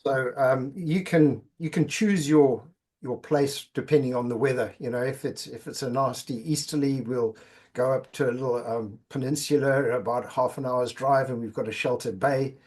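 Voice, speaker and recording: A man's voice: medium pitch, steady tone, normal speed, darkish tone. A middle-aged man from Zimbabwe, a podcast guest chatting casually and often repeating words as he goes. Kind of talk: casual interview chat.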